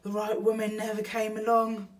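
A woman's voice: mid-range voice, completely flat delivery, moderate pace, recorded from a distance, voice pushed up, lightly acted. A woman voicing a good-natured, plain-spoken working-class male burglar in a storybook. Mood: hearty.

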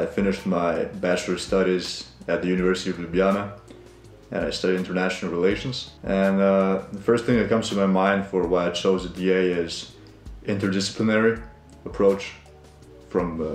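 Slovenian student, 20s, deep and calm, echoey library with soft music. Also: male voice, moderate pace. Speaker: master's student. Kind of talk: interview answer. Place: library indoors.

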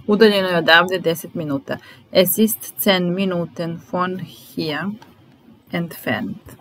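A woman, teaching vocabulary in a deliberate, repetitive, instructional way. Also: lowish voice, fairly bright tone, rough recording. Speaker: language teacher. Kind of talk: vocabulary lesson, word-by-word dictation with repeated phrases. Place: small room indoors. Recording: background noise with keyboard typing.

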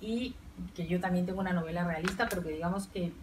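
A woman's voice: lowish voice, fast talker. A middle-aged woman speaking on a university panel, reflective and searching for words. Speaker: panel guest writer. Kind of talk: panel discussion. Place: university lecture room, seated at panel table. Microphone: table stand mic heard from far camera. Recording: distant, faint hiss.

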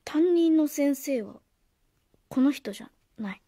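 A woman's voice: high voice, animated, unhurried, warm tone, no echo, studio quality. A woman voicing a sullen, guarded young schoolboy in a radio drama, curt and reluctant. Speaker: voice actor.

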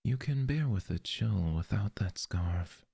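A man playing a possessive, jealous lover in an audio roleplay. He speaks softly and intimately into a close microphone, often in a whisper. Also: lowish voice, animated, a little slow, gravelly, slightly rough, no room sound, full character voice. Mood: teasing.

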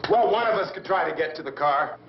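A man's voice: a little high, lively intonation, fast, lightly acted. An actor playing an urgent, eager man trapped indoors in an old horror film. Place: indoors, farmhouse room. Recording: echoey, dull, noisy old film sound.

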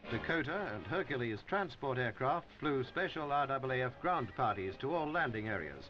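An old, dull film soundtrack carries a man narrating a newsreel over prominent music. He speaks as a formal 1950s announcer, measured and scripted, in a mid-pitched voice. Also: sing-song.

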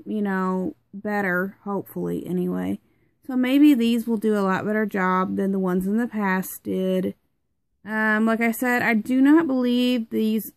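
A woman's voice: mid-range voice, darkish tone, no room sound. Congested young woman rambling, dry sound. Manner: chatty, rambling, many fillers. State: congested, stuffed-up nose. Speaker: product reviewer. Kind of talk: casual rambling product review.

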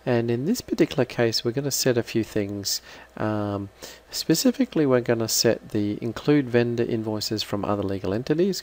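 A man giving an unscripted, halting step-by-step software walkthrough as a trainer. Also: lowish voice. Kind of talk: screen-recorded software walkthrough.

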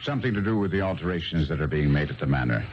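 An actor playing a confident, shrewd gentleman detective in a radio drama, articulate, polite and assured. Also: very deep voice, animated, racing, very noisy. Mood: brisk, assured. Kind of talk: 1970s radio drama acting. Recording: dull 1970s radio recording.

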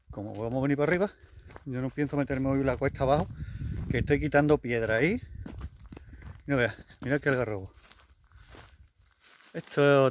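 Rambling Spaniard on a country walk. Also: male voice, medium pitch, slight background noise. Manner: chatty, rambling, self-correcting, mild swearing. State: walking downhill over stones. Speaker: outdoor vlogger. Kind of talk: casual walking vlog. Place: outdoors in rural fields. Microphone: handheld camera on selfie stick.

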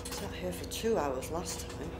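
Expressive, anxious blind woman patient, played by a woman. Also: on the low side, unhurried. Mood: fretful. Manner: muttering worries to herself. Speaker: actor in an amateur audio drama. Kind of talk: naturalistic audio drama monologue and dialogue. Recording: noisy, echoey room with ambience.

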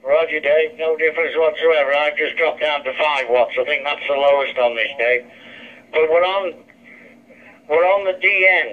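A man's voice: a little high, moderate pace. A man chatting casually as an amateur radio operator, his voice coming through a handheld radio. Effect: heard through a handheld radio. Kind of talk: casual two-way radio chat. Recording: dull lo-fi two-way radio speaker.

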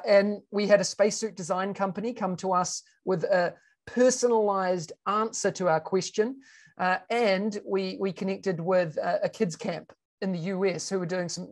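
A man in his thirties with a New Zealand accent, speaking on a video-call panel as an education consultant, filling his talk with "you know" and restarts. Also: high voice. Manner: conversational, frequent "you know" fillers and restarts. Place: home room. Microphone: video call webcam.

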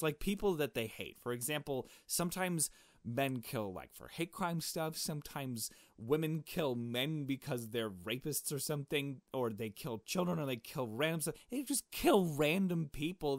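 A man's voice: a little high, animated, normal speed, no room sound, studio quality. A man reading visual novel text aloud and adding informal, joking commentary of his own.

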